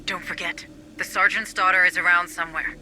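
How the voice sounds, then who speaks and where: An actress playing a focused military tech specialist in a video game, brisk and matter-of-fact. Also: female voice, lowish voice, fairly even intonation, sharp tone, a little hiss or hum, low-quality recording. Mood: wary. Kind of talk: video game cutscene acting.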